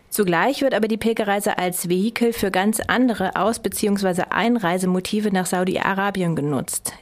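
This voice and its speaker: A woman presenting a radio feature, reading scripted narration. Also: medium pitch.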